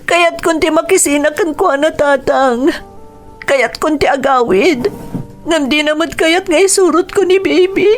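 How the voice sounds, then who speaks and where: A woman's voice: high voice, unhurried. An actress with a Filipino accent playing an anguished, pleading young wife and mother in an Ilocano radio drama. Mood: tearful pleading. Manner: pleading, emotional. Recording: music bed under the dialogue.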